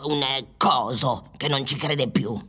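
An actor playing a world-weary scriptwriter in a comic radio drama, declamatory. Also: male voice, medium pitch, slow, pushed and bright, breathy edge, full character voice. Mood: gloomy dictating.

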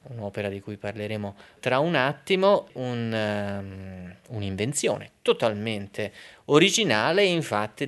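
Low-voiced man hosting radio. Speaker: radio host. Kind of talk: radio programme introduction and interview.

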